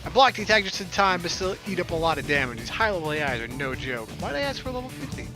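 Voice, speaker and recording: A man narrates a visual novel in let's play style, with a joking, playful manner. His voice is on the higher side. Game music is clearly audible behind him. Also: big pitch swings, quick pace. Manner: playful, jokey. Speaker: gamer reading a visual novel aloud.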